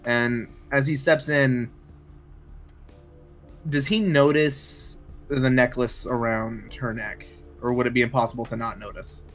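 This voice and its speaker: A man playing a tabletop roleplaying game, describing his character casually and haltingly as he thinks aloud. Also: mid-range voice, steady tone, faint music behind. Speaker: roleplay player. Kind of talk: casual improvised roleplay chat.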